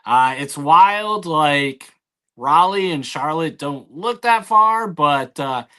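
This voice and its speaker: Smooth-voiced man talking slowly and casually. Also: fairly high pitch, animated, pushed and bright. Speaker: interview guest. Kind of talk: casual chat.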